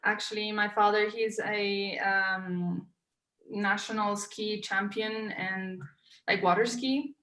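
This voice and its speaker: A woman's voice: mid-range voice, fairly even intonation, slow, pushed and bright. Hesitant Colombian woman in an echoey room. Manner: hesitant, many "um" fillers, searching for words. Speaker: podcast guest, co-founder. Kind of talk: casual interview talk.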